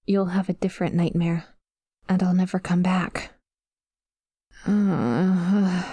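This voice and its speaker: A woman playing a possessive but vulnerable female sleep paralysis demon in an ASMR roleplay, speaking softly and hesitantly, close to the mic. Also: lowish voice, no echo. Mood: vulnerable, anxious. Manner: hesitant, halting, tentative, confiding. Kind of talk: ASMR roleplay, intimate monologue addressed to a sleeper. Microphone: close microphone, dry.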